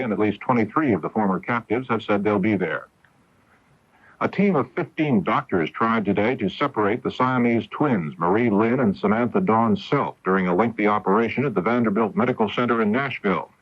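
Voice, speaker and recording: A low-voiced American man reads the news with formal, measured delivery. His voice is husky, heard through a dull 1980s AM radio broadcast. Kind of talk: scripted newscast narration.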